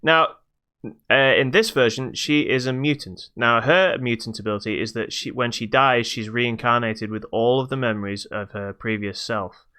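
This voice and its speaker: A man co-hosting a podcast, reviewing games in casual chat with halting explanations that trail into dismissive asides. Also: medium pitch, fairly even intonation, pushed and bright, clear, resonant tone. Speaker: podcast co-host.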